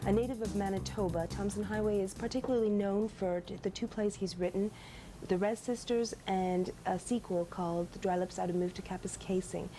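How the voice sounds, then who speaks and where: A woman host giving a scripted introduction and asking interview questions in a clear, measured way. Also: medium pitch, steady tone, warm tone, faint music behind.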